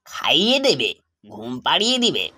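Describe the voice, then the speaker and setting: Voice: medium pitch, wildly swooping pitch, moderate pace, bright, forceful tone, slightly rough, exaggerated voice. A performer voicing a trusting, affectionate father in a cartoon, agreeable and coaxing in manner. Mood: cheerful. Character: trusting, affectionate widowed father, easily persuaded. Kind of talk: cartoon voice acting.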